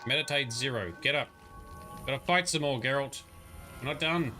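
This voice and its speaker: A man's voice: mid-range voice, moderate pace, faint music behind. A man giving casual, chatty commentary on a livestream while playing a game and talking to his viewers.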